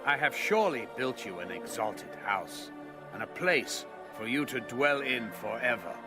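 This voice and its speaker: A man's voice: medium pitch, animated, a little slow, close to an everyday voice. An actor playing a reverent king in an audio Bible drama, addressing his people in a stately, declamatory way. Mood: solemn, proclaiming. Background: music.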